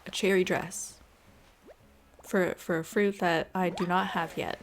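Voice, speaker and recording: A woman giving casual, chatty and expressive voice-over commentary as she plays a video game. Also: on the low side, measured pace. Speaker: gaming video creator. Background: background music.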